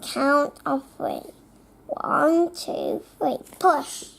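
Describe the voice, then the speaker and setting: A young child reads a storybook aloud in a high voice. The pace is very slow, with halting phrases that sometimes get repeated as the words are worked out. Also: steady tone, vocal fry, a bit bright. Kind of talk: read-aloud of a children's picture book.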